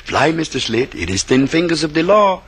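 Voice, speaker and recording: A man's voice: fairly high pitch, very animated, moderate pace, a bit bright, slight background noise. An actor playing a playful, poetic male sidekick in an old radio adventure, with lilting, flowery delivery. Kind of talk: 1940s-50s radio adventure acting. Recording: old radio recording, faint music.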